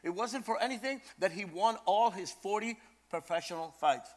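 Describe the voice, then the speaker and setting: An older man from Brooklyn, preaching in a storytelling style, animated and emphatic, repeating phrases for effect. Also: high-pitched, moderate pace. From Brooklyn, New York, USA. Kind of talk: storytelling sermon.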